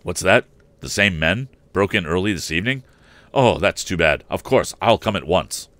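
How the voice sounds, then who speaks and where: A man's voice: low voice, lively intonation, normal speed, a bit bright, no room sound. A man voicing an agitated, impatient elderly inventor and father in a storybook reading. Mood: worried, agitated. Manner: agitated, insistent.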